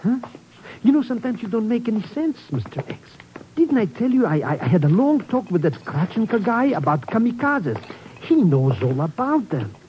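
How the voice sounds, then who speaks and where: An actor playing an excitable, cowardly schemer of a sidekick in a 1950s radio drama, stammering and wheedling. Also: male voice, high voice, normal speed. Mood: puzzled. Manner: stammering, wheedling, swooping exclamations. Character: excitable, cowardly comic foreign sidekick, a fast-talking schemer. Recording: noisy, dull old 1950s radio recording.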